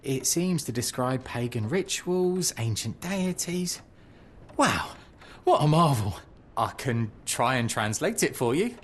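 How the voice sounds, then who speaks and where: Flustered, bookish man, very animated. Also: mid-range voice, takes it slowly, a little hiss or hum. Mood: amazed. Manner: hesitant, stammering, courteous, excitable. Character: eager, nervous, bookish man, polite and helpful. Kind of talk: scripted video game cutscene acting.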